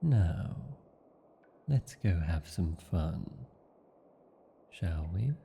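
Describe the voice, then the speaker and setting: Man acting a very dark-voiced, tender British dragon lover. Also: deep voice, lively intonation, extremely slow, gravelly, a little hiss or hum, heavily acted. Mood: tender, devoted. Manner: formal, archaic, theatrical phrasing with tag questions. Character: tender, proud male dragon lover in fantasy romance. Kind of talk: fantasy ASMR roleplay, slow intimate acting.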